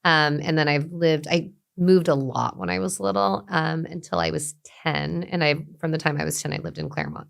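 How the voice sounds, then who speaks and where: Low gravelly woman. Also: steady tone, normal speed, hardly any pauses. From Los Angeles, USA. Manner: thoughtful, many fillers and restarts, trailing softly. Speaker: guest, beauty brand founder. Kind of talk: reflective conversational interview.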